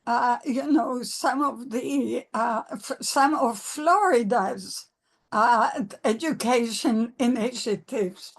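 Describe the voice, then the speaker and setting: A woman moderating a webinar panel, speaking haltingly with many fillers as she thanks speakers and hands over. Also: a little high. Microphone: video call.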